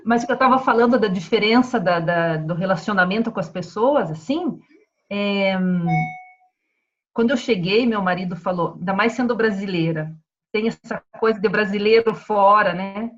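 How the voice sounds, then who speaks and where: A woman's voice: mid-range voice, normal speed. Woman with a Paraná accent on a lo-fi call. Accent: Paraná Portuguese. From Paraná, Brazil. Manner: chatty, warm laughs, many tag questions. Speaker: guest, civil engineer. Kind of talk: casual interview chat.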